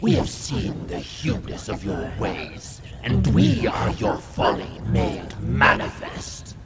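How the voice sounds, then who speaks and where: Slow gravelly giant rat monster king, grandiose and menacing, loud noise behind. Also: lowish voice, big pitch swings, heavily acted. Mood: contemptuous, grandiose. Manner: grandiose, menacing, archaic speech. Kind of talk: fantasy audio roleplay acting.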